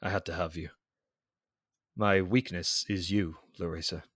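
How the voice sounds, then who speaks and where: A brooding military officer in an audio drama, with a deep voice recorded close and dry. He speaks formally and earnestly, holding himself in restraint as a devoted, honour-bound lover. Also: steady tone, unhurried, a bit bright, no echo. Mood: earnest, tender.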